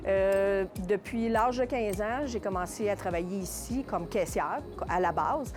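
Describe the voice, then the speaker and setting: Hesitant Quebec woman, music behind. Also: medium pitch. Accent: Quebec French. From Contrecœur, Quebec, Canada. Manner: hesitant, halting, searching for words. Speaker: small business owner being interviewed. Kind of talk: casual, unscripted interview answers.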